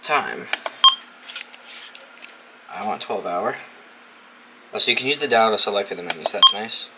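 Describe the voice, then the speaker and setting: A young American man with a fairly low voice talks through a gadget setup in a loose, informal way, thinking aloud and swearing freely. The recording has clear noise behind him. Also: expressive, moderate pace. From New England, United States. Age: young adult. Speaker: video host reviewing a gadget. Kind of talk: casual unboxing and setup commentary. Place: dorm room.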